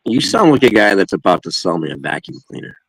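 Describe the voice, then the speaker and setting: A man's voice: sits low, big pitch swings, fast, creaky, husky, no room sound, low-quality recording. A man co-hosting a livestream, with a nasal, slightly whiny voice, chatting casually and laughing often. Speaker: livestream co-host. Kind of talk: casual chat.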